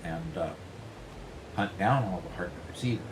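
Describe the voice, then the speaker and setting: A man's voice: deep voice, normal speed, noisy background. A man co-hosting a gaming livestream, chatting casually, playful and easily flustered. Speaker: livestream co-host. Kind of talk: casual chat while gaming.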